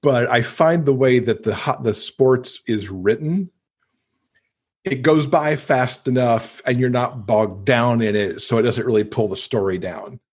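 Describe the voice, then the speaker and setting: A man's voice: medium pitch, a little slow. A middle-aged American man from Michigan chatting casually as a guest in an interview. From Michigan, United States. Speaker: podcast guest, romance author.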